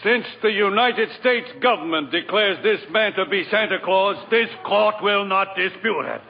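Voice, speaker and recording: High-voiced stern male judge. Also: moderate pace, vocal fry. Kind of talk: 1940s radio acting. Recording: dull 1940s radio broadcast, crowd and noise.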